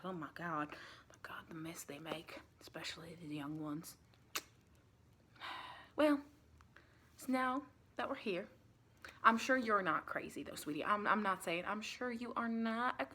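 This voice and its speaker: Woman playing a soft-spoken, folksy Southern vampire lady. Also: mid-range voice, slight background noise, lightly acted. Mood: gossipy, placating. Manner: folksy, rambling, reassuring, doting. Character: sweet, chatty Southern vampire lady interviewing recruits. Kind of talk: ASMR roleplay, soft-spoken with whispering.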